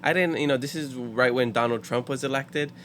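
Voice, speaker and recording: A man's voice: medium pitch, brisk, a little hiss or hum. Laughing young man from Hawaii. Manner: lively storyteller who laughs often. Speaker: podcast guest. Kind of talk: casual podcast chat.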